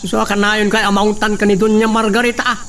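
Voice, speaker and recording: An actor playing a sly hacienda foreman who flatters his master. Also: high-pitched, brisk. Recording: distant mic, faint noise.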